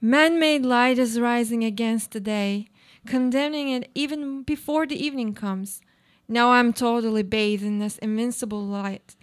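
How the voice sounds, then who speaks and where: A high female voice carries an actress's portrayal of an anguished woman, obsessive and haunted. The delivery is theatrical and inward-looking, a brooding monologue from a live radio play. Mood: dazed wonder.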